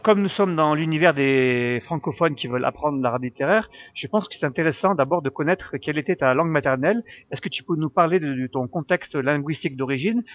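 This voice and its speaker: A male podcast host who leads the conversation with polite, well-ordered questions. His voice sits a little high for a man, and the recording sounds muffled and lo-fi. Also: a bit bright. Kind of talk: podcast interview.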